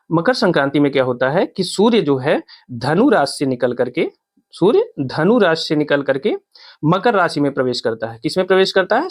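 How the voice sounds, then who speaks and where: A man's voice: on the high side, no room sound, clean studio sound. A man teaching an informal exam-prep lesson, repeating points and asking check questions as he goes. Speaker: teacher, exam coaching instructor.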